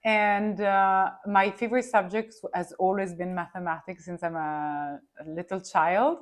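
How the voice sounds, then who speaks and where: A woman from Milan talks as a podcast guest in English with an Italian accent. She is reflective and often pauses to search for her words. Her voice sits at a middle pitch. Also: steady tone. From Milan, Italy. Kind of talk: casual interview chat.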